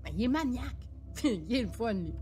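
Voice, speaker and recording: A woman's voice: on the high side, very animated, moderate pace, mellow. A woman reading the part of an easygoing teenager, talking casually to the audience. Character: northern Ontario teenager in last school year, easygoing. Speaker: reader in a staged play reading. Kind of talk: theatre reading of a monologue.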